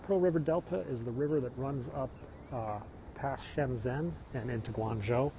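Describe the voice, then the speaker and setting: A man's voice: medium pitch, noisy background. Midwestern American man vlogging outdoors among cicadas. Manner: chatty, tour-guide pointing out sights, answers viewer comments. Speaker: live-streaming vlogger. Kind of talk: casual walking livestream chat. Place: outdoors on a hillside trail. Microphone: phone held while walking. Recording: phone recording outdoors with loud cicadas. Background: insects and cicadas.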